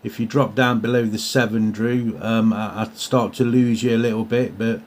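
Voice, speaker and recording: A man's voice: fairly low pitch, steady tone, normal speed, a little mellow. A man from England with an English accent, chatting casually and a little hesitantly in CB radio jargon. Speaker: amateur CB radio operator. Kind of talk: casual radio contact chat. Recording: faint radio hiss and static.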